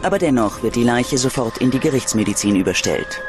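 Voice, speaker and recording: A man's voice: medium pitch, expressive, fairly quick, over loud music. A man delivering dramatic scripted true-crime narration as a documentary narrator.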